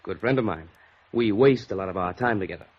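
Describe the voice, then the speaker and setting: A man acting an idle, hard-drinking heir in a 1950s radio drama, talking in a loose, halting way. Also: on the low side. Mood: easygoing, tipsy. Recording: dull vintage radio recording.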